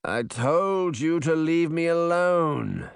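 Male reader doing a sulky storybook prince, arrogant, growling, indignant, very varied pitch. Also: expressive, a little slow, no echo, studio quality. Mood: grumbling. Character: grouchy, arrogant, self-pitying fairy-tale prince.

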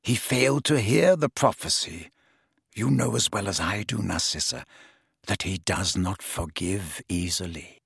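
A cold, guarded man , voiced by a narrator dropping well below his usual pitch, slow and hushed. The low voice is gravelly and a little husky, close and dry. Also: very animated, no echo, exaggerated voice. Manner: slow, quiet, measured. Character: cold, guarded grown man, quiet and controlled. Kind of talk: audiobook character voice.

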